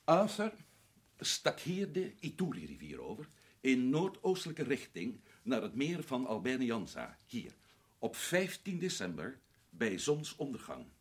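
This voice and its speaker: A man's voice: medium pitch, wildly swooping pitch, measured pace, breathy edge, full character voice. An actor playing an adventurous man back from Africa, telling his story earnestly and urgently in a radio drama. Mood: narrating. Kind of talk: 1960s radio drama acting.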